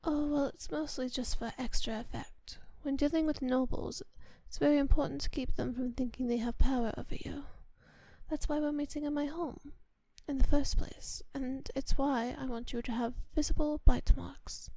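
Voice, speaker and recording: A woman playing a kind, doting vampire mistress in an intimate ASMR roleplay, speaking softly and often whispering in refined, unhurried phrases. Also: sits high, fairly even intonation, dry recording. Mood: gently commanding. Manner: soft, breathy, often whispered, unhurried endearments; refined, formal diction. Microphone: close microphone in a dry space.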